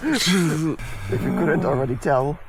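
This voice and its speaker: A man's voice: fairly high pitch, expressive, slow, a crowd in the background. A man chatting casually as he vlogs from an outdoor winter camp. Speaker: outdoor vlogger. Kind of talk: casual camping vlog chat.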